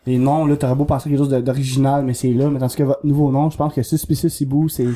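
A man's voice: mid-range voice, level tone, quick pace, dark tone. A man with a Quebec French accent, chatting casually as a podcast co-host. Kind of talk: casual chat.

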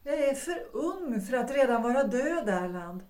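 An actress playing an anguished, yearning unhappy wife in a period audio drama, speaking fervently with dramatic pauses. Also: female voice, on the high side, sing-song, a little mellow. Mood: despairing, fervent. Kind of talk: period stage-play audio drama acting. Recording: roomy echo.

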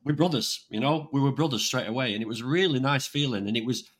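A man's voice: medium pitch, fast talker, a bit bright, husky. Older man, rambling chat. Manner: rambling, with many restarts. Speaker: online vegan channel host. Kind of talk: casual livestream chat.

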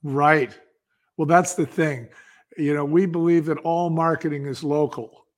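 An American man with a fairly high-pitched voice speaks as a guest in an interview. He tells his story conversationally and makes points emphatically, often posing a question and answering it himself. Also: fairly even intonation, unhurried. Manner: emphatic, uses rhetorical questions. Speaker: interview guest, company founder.

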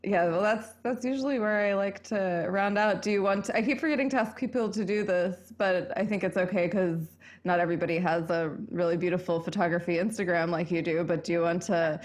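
A woman's voice: lowish voice, steady tone, brisk, few pauses. A young American woman hosting a casual interview podcast, chatty and laughing often, with lots of "like" fillers. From United States. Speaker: podcast host.

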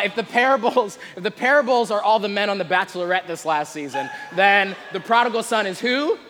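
A man's voice: high voice, sharp tone, a little hiss or hum. A man preaching a sermon in a conversational, informal and playful manner, sometimes reading scripture. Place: large reverberant room before a live audience. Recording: long hall reverb.

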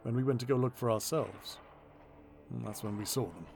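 A man's voice: mid-range voice, fairly quick, vocal fry, a little mellow, soft background music, natural voice. A man playing a stern, battle-hardened military commander in a science fiction audio drama, speaking tersely. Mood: grave. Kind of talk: sci-fi audio drama acting.

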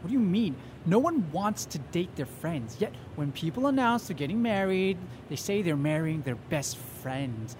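A man's voice: sits high, very animated, moderate pace, hardly any pauses, a little mellow, slight background noise, poor audio. A man playing an anxious, melodramatic, stammering young boyfriend in an audio drama. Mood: bitter.

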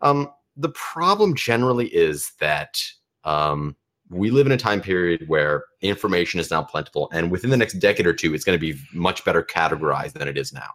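Deep-voiced teenage boy, Romanian-born. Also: a little fast. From United States and Romania. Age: teens. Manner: animated, restarts often, rambling. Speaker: caller. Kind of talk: casual chat on a call-in show.

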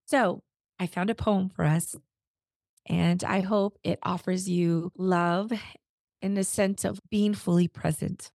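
A hoarse woman hosting her podcast, her voice gravelly and rough from a cold. She talks in a gentle, unhurried, encouraging way, and the sound is close and dry with no room around it. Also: on the low side, dry recording. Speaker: podcast host. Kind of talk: reflective podcast talk.

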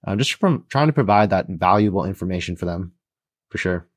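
A man co-hosting a casual podcast, speaking in a steady, monotone way. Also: low-pitched, fairly quick, dry recording, studio quality. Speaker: podcast co-host.

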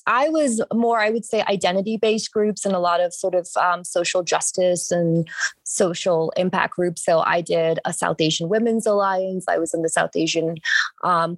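A woman from Sri Lanka speaks in a slightly low voice, recorded dry with very little room sound. She shares advice in a conversational panel style, peppered with small asides. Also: dry recording.

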